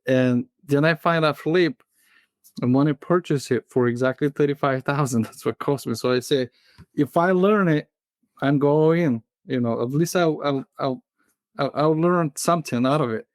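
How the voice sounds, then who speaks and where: A man in his thirties from Bulgaria with a Bulgarian accent, a guest in a casual interview who talks in a halting way, full of fillers and restarts. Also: on the high side, no room sound, studio quality. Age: 30s.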